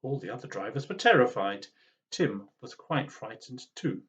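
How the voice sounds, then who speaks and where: A man reading a children's storybook aloud in an expressive, dramatic way. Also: medium pitch, normal speed, highs cut off. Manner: animated, dramatic.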